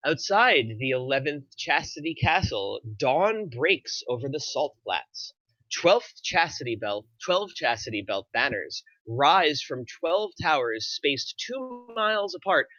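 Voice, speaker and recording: A man's voice: fairly high pitch, sharp tone, no room sound. A man reading scripted stage directions as the narrator of a screenplay table read. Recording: slightly dull, dry recording.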